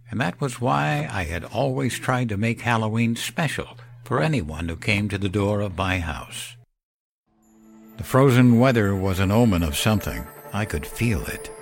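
A man narrating a scripted first-person horror story as a weary, wistful old man, in a measured, solemn and dramatic way. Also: fairly low pitch. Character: weary, wistful elderly man telling his ghostly tale. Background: music under the voice.

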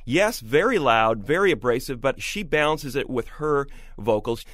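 A man's voice: medium pitch, expressive, moderate pace, a bit bright, dry recording, clean studio sound. A man co-hosting a music show, giving an enthusiastic, emphatic album review. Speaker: music critic and show co-host. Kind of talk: lively album review discussion.

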